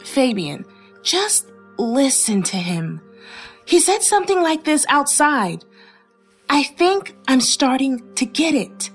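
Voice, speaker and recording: A woman's voice: high-pitched, big pitch swings, slow pace, heavily acted. An actress playing a guarded, reticent shopkeeper telling local ghost lore in a horror audio drama, with music behind her. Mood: earnest.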